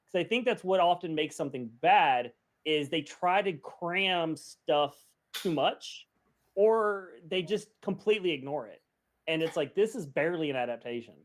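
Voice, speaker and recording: Higher-voiced man chatting on a podcast. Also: expressive, moderate pace. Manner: rambling, thinking aloud. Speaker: podcast panelist. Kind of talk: casual chat.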